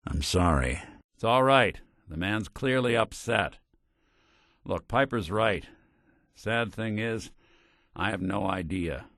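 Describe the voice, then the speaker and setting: Low-voiced, hard-boiled American synth detective. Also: male voice, lively intonation, slow pace, pauses often, a bit bright, no room sound, clean studio sound, heavily acted. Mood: sympathetic. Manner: wry, folksy old-time gumshoe delivery. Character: weary, wry synth private detective, kind but hard-boiled. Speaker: video game voice actor. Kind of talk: noir detective game dialogue.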